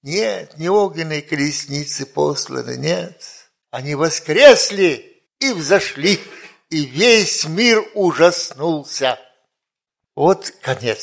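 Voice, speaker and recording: A man's voice: mid-range voice, creaky. A man in his seventies preaching informally, in a conversational way with rhetorical questions and repeated phrases. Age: 70s. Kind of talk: informal sermon with personal anecdotes.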